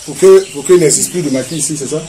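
A man's voice: a little high, quick pace, warm tone. A man, a pastor giving commanding instructions and prayers during a deliverance. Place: open-sided yard outdoors. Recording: noisy phone video with outdoor bird and ambient sounds.

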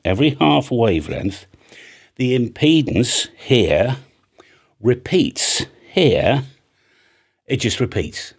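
A British man in his fifties with a husky voice gives an informal, chatty tutorial, often checking in with the listener, close on a studio microphone. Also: mid-range voice, sing-song, slow pace, long pauses. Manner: chatty, plain-spoken, checks in with "right?" and "okay?". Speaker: amateur radio operator and antenna maker presenting a tutorial. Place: small indoor home studio. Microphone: large studio microphone on a boom arm, close.

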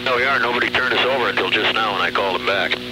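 A man's voice: on the low side, rapid-fire. A man speaking as an airline pilot in exchanges with ground control over aviation radio. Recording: very noisy cockpit radio transmission.

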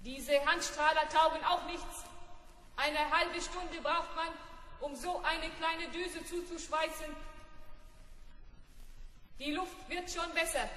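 A vain robot for a radio play, grumbling smugly in a high, bright, processed voice. It sounds scornful and pleased with itself, inside an echoing space. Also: steady tone, slow, pauses often, full character voice. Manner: smug, griping, self-satisfied. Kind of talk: 1970s German children's radio play acting. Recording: echoing hall-like reverb.

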